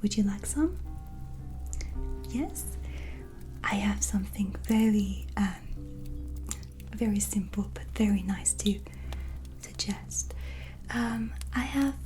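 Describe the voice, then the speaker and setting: A woman playing a gentle, calm witch hostess in a soft-spoken, whispered ASMR roleplay, soothing and intimate as if speaking to a guest. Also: mid-range voice, soft background music, natural voice. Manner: slow, soothing, intimate, addressing the listener as guest. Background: soft ambience.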